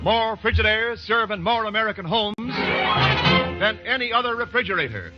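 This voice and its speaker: A man's voice: high-pitched, lively intonation, normal speed, loud music behind the voice. Male vintage radio narrator: polished dramatic announcing, wide melodic swings, muffled old recording.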